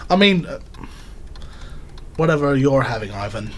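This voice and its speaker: A man's voice: mid-range voice, expressive, moderate pace, a little hiss or hum, natural voice. An actor playing a loyal, anxious working-class man with a British accent in an audio drama, earnest and nervous. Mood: nervous resolve.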